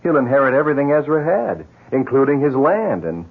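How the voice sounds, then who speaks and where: An actor in a 1970s radio drama playing a considerate, concerned family friend, in muffled broadcast sound. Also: male voice, medium pitch, sing-song, fairly quick, slightly rough. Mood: friendly, measured.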